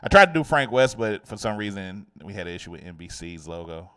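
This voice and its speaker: A male host rambles loosely through his questions with lots of fillers. He talks fast in a low voice. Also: animated, a bit bright, clear, resonant tone. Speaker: show host. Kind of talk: casual interview hosting.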